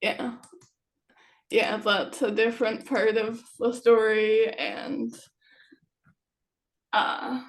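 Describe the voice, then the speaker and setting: A woman author chatting casually in an interview, speaking in halting fragments that trail off. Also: on the high side, steady tone. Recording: roomy echo, distant from mic.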